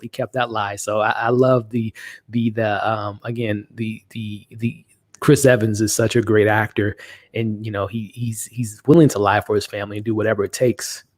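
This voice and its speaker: Dude on a live stream, kinda stuffy and nasal, middle pitch, dry close mic, keeps restarting his sentences. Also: a little mellow, no echo. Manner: rambling, many restarts and fillers. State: nasally from allergies. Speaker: host of a live watch-along stream. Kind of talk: casual live-stream commentary, reading chat.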